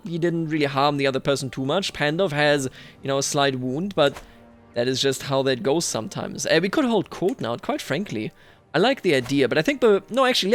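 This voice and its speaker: A man hosting a gaming video, thinking aloud in a musing way as he roleplays a strategy game. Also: a little high. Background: music.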